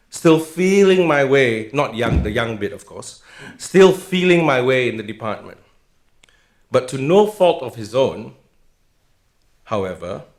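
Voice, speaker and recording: A middle-aged man giving a formal speech of introduction as a host, telling anecdotes along the way. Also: mid-range voice. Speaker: host introducing a guest lecturer. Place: indoor public lecture event. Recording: roomy, echoing event venue sound.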